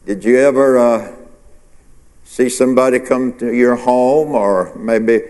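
A man's voice: medium pitch, slow, darkish tone, big-room sound. A male pastor preaching a sermon, asking the congregation questions and answering them himself. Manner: preacherly call-and-response, rhetorical questions.

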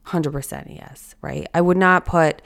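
A woman in her thirties hosting a solo podcast, her voice raspy and hoarse, talking in a reflective, encouraging way straight to her listeners. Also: deep voice, steady tone, measured pace, fairly bright tone. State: hoarse from allergies or illness. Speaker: podcast host.

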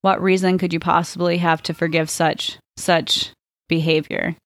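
A woman voicing an intense, anguished male detective in a story reading, halting and emphatic. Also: fairly low pitch, little pitch movement, no room sound, clean studio sound, natural voice. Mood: flat, accusing.

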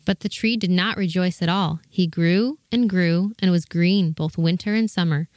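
A woman's voice: on the low side, moderate pace, a little mellow, no room sound, clean studio sound. A woman reading scripted storybook narration as the narrator of a fairy tale.

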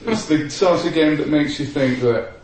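A man's voice: fairly high pitch, moderate pace, a little mellow. A man with a slight Scottish accent chatting about football, self-deprecating and laughing at himself. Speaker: podcast pundit. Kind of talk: casual football chat. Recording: very echoey, distant from mic, faint noise.

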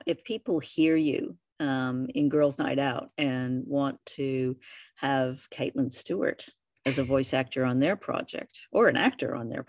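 A woman hosting a podcast, speaking in a conversational interviewer style. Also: rumbling low. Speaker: podcast host. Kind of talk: interview questions and podcast outro.

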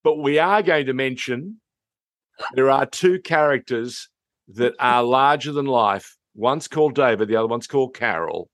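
A mature man hosts a chatty TV review in a mid-pitched voice. He leans on phrases and repeats them for emphasis. The sound is dry, with very little room in it. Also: animated, normal speed, dry recording. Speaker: host reviewing TV series. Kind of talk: conversational review chat.

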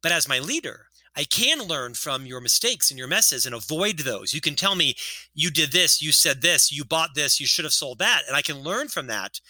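A man's voice: fairly high pitch, sing-song, moderate pace, sharp tone, no echo. A man in his fifties who has a stutter, telling his story earnestly as a guest in an interview. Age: 50s. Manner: earnest storytelling, lectures with statistics. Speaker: author and podcast guest. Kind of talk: casual interview talk.

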